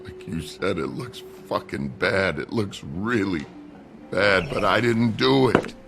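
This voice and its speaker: A gruff woodsman in a video game drama, down on his luck and sick of himself. The voice sits extremely low and crackles with gravel. It swings widely in pitch as he rambles coarsely, with music underneath. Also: expressive, slow, a bit bright, exaggerated voice. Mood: panicked, pleading. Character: gruff, down-and-out woodsman, bitter, self-loathing brute.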